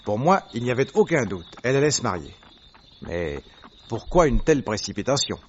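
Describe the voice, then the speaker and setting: A man's voice: mid-range voice, big pitch swings, brisk, lo-fi sound, slight background noise, lightly acted. An actor playing a witty, eager male detective who tells things playfully and vividly in a French radio drama. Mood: excited, urgent.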